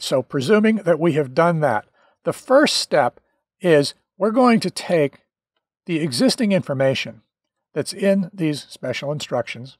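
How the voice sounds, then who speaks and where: A middle-aged man talking through a software tutorial, with relaxed explanations and dry little jokes. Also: fairly high pitch, no room sound. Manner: relaxed, dryly joking explanations. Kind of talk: screen-recorded software tutorial.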